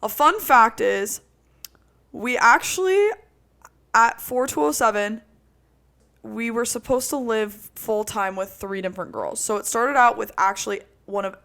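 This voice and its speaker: A young woman co-hosting a podcast, telling a roommate story in casual chat with a loud, projecting voice and lots of "like". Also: a little high, unhurried, gravelly. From Italian roots. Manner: animated storytelling with frequent "like". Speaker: podcast co-host.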